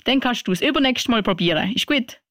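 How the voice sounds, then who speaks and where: A woman's voice: fairly high pitch, animated, a little fast, a bit bright, no echo, clean studio sound. A woman hosting a radio show, explaining and encouraging. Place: radio studio.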